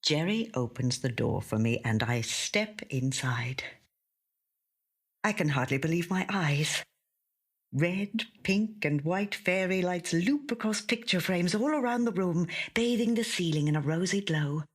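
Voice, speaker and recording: A woman reading a first-person literary short story in a measured, gentle storytelling manner. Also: on the low side, animated, breathy edge. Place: audio studio.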